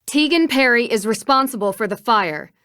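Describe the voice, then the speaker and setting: Firm, high-voiced young woman detective. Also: animated, moderate pace, a bit bright, no room sound, clean studio sound. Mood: earnest, firm. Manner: firm, probing, accusatory. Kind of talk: video game voice acting.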